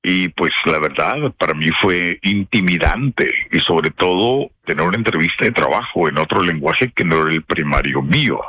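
Northern Mexican male radio personality, interview guest. Forward, bright tone, close dry sound, slangy and expressive storytelling. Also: low voice, moderate pace, few pauses, no room sound. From Piedras Negras, Mexico. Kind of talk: casual anecdotal interview.

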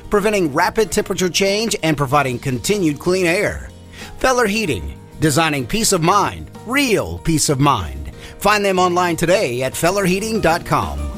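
A man's voice: fairly high pitch, fairly bright tone. Upbeat male radio ad announcer. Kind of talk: scripted ad narration. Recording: radio ad over light music.

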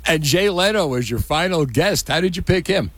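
A man's voice: on the high side, lively intonation, moderate pace, fairly bright tone. A man interviewing in a casual, chatty way, enthusiastic and admiring of his guest.

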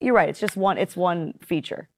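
A woman on a talk panel chatting in a relaxed way and laughing along. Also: fairly low pitch, normal speed.

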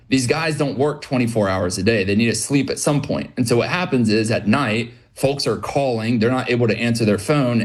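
A man as an interview guest, explaining his business in an upbeat, practical way. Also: medium pitch. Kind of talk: interview answers.

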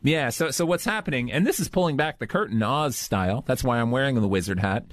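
Joking male radio host, lively pitch. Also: quick pace, no room sound. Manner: playful, self-mocking jokes. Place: radio studio.

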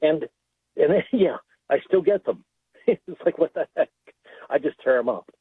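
A man's voice: fairly high pitch, lively intonation, unhurried, dry recording. A man calling in to a radio show, chatty and indignant, chuckling as he talks. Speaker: caller. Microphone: phone line. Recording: tinny, husky phone line.

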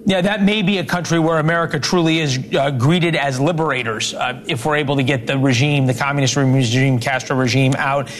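A man's voice: medium pitch, fairly quick, hardly any pauses, fairly bright tone. A man hosting a cable news interview show, conversational and wry, with occasional fillers.

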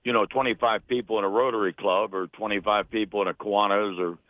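A man's voice: lowish voice, fairly even intonation, fast talker, no echo. An American man, middle-aged or older, speaking as an interview guest in an earnest, emphatic conversational way, repeating phrases for stress. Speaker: interview guest, sports announcer and broadcasting teacher. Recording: tinny phone line.

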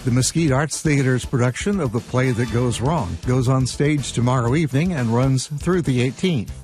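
A man reading scripted community calendar announcements on radio in a clear, even manner. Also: mid-range voice, moderate pace, soft background music. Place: radio studio.

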